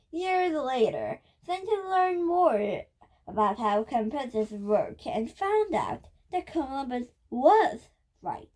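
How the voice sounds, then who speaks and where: A female child reads a book aloud in a high voice. She takes it slowly and carefully, word by word, with the odd halting stumble along the way. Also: a little mellow, poor audio. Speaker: reader of a children's nonfiction book.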